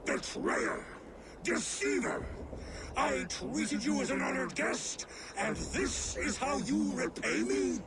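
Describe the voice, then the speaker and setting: An actor playing a giant lord in a video game, grand and commanding, with a lordly temper and drawn-out delivery. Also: male voice, very high-pitched, sing-song, heavy background noise, exaggerated voice. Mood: wrathful. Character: booming male giant lord, hospitable host turned wrathful. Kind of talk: dramatic video game acting. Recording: loud music over game cutscene.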